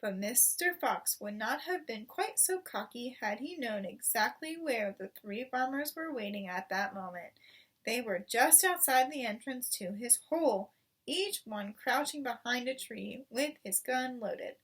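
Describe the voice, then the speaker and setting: A woman reading a children's story aloud in expressive narration, recorded at some distance from the microphone. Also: a little high, unhurried, husky. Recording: voice distant from the mic.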